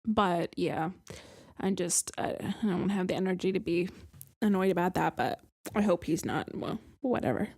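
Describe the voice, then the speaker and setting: A woman's voice: fairly low pitch, moderate pace, darkish tone, no echo, studio quality. A woman co-hosting a podcast in casual chat, rambling and self-deprecating with many restarts. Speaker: podcast co-host.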